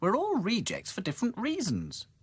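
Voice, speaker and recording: Dotty, a bright know-it-all doll, as a man puts her on. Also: high-pitched, huge pitch swings, moderate pace, slightly rough, no echo, clean studio sound, voice pushed up, heavily acted. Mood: eager. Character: bossy, cheerful know-it-all girl doll.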